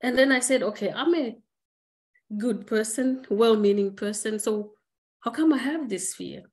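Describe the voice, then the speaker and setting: A middle-aged woman from Zimbabwe speaking on a webinar call, reflective and earnest, pausing on fillers and restarting her sentences. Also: a little high, a little mellow. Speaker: guest speaker. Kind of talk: candid personal conversation. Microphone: webinar video call.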